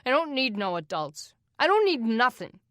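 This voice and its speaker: A furious young orphan boy, raised and strained well above the reader's own pitch, as a woman puts him on. He snarls and spits his words loudly, bitter and defensive. The voice is close and dry. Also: lively intonation, normal speed, dry recording, close to an everyday voice. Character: angry defiant orphan boy, big brother.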